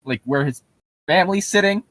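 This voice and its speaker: Male comedic reader, animated and wide-ranging, dry recording, profane sarcastic asides in a casual style. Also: high-pitched, a little fast, no room sound. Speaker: host reading and riffing on a horror story aloud. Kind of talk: comedic read-aloud with casual commentary.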